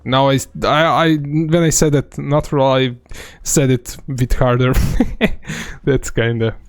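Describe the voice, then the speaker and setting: A man's voice: medium pitch, a little slow, dry recording, clean studio sound. A young man from Serbia with a light Serbian accent, giving rambling live game commentary full of fillers. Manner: relaxed, rambling, many fillers. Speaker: game streamer.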